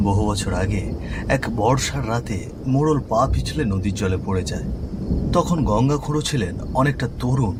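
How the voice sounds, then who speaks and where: Male narrator, scripted horror cartoon, animated delivery, clear rain noise underneath. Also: medium pitch. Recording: rain and thunder noise behind.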